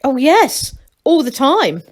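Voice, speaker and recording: A woman's voice: high-pitched, sing-song, takes it slowly, pitched above the performer's own voice, exaggerated voice. A woman voicing a nervous, earnest male gnome in a storybook, hesitant and floundering. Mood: eager.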